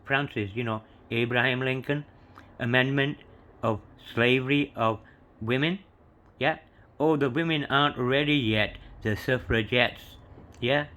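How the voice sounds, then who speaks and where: A man's voice: medium pitch, a little slow, fairly bright tone. A man rambling through a coin show-and-tell, peppering his talk with "you know" and spelling out inscriptions letter by letter. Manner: meandering, full of "you know" and "yeah", reads inscriptions letter by letter. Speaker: coin collector showing coins.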